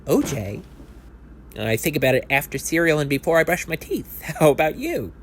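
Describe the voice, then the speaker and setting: A man's voice: fairly high pitch, big pitch swings, normal speed. A man voicing a tipsy, nervous and eager basketball fan in an audio play, hesitant, stammering and incredulous. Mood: awkward.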